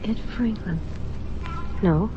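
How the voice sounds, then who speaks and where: A woman's voice: medium pitch, slow, lo-fi sound, lightly acted. An actress playing an anxious, grieving married woman in an old-time radio drama, speaking hesitantly and politely. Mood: subdued, hesitant. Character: anxious, grieving married woman questioned about her missing brother. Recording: old radio drama under road noise. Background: music and vehicle driving noise.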